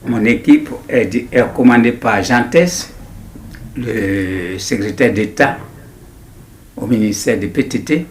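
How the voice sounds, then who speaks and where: A man in his nineties with an Ivorian accent, recounting his memories in an interview in a deliberate, halting way with repetitions. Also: lowish voice, a little hiss or hum. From Ivory Coast. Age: 90s. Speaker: interviewee, writer. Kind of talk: personal recollection in an interview.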